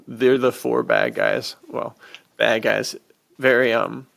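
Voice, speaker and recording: A man chatting casually and enthusiastically as a podcast host, in relaxed bro slang peppered with "dude". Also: mid-range voice, takes it slowly, gravelly.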